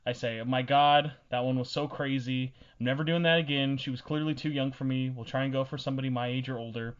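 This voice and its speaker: A young man hosts a casual podcast in a teasing, joking manner. His voice sits at a middling male pitch. The recording is a little dull and muffled. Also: steady tone, quick pace. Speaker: podcast host.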